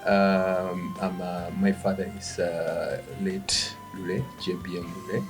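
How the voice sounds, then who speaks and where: A middle-aged Ugandan man with a Ugandan accent, answering interview questions in a halting way, often restarting words. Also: deep voice, over music. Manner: halting, restarts words, quiet then rising when animated. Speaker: guest, event company founder.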